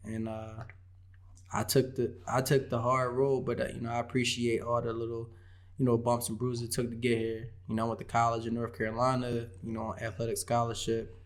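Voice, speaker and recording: Flat-voiced man in his thirties. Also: mid-range voice, a little fast. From Philadelphia. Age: 30s. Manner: leans heavily on "you know". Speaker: guest. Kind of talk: casual interview chat.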